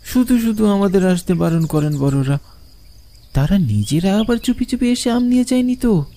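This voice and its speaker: Woman playing a mellow-voiced curious village boy. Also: medium pitch, lively intonation, moderate pace, close to an everyday voice. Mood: suspicious, tempted. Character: curious, defiant village boy talking to himself. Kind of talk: cartoon horror story dialogue.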